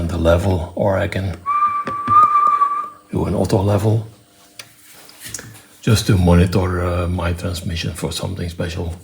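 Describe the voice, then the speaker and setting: A man presenting an unscripted technical demonstration in a matter-of-fact, step-by-step way, with halting phrasing. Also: low-pitched, gravelly, a little mellow, slight background noise. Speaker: presenter demonstrating own electronics project.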